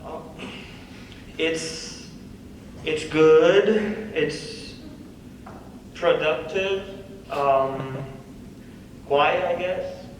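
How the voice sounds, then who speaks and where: A man acting in a live script reading as an awkward, evasive comedian, halting and dismissive. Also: fairly high pitch. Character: awkward, evasive adult male comedian in therapy. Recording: distant echoey hall, faint noise.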